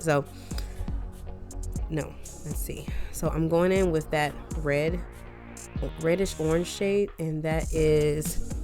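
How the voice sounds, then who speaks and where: A woman with a deep, low voice talks through a makeup tutorial in a loose, rambling way. She sounds congested and a little scratchy from a lingering cold. Music plays clearly under her voice. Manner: chatty, rambling asides, frequent "um" and "like". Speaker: beauty vlogger. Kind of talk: casual makeup tutorial chat.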